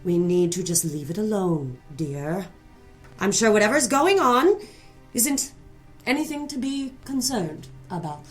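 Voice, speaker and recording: A woman's voice: medium pitch, lively intonation, a little slow, lightly acted. Woman acting as imperious middle-aged matriarch, controlling, condescending, noir radio drama, music behind. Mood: quiet, menacing. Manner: imperious, scolding, condescending endearments. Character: haughty, controlling, cruel society mother, middle-aged.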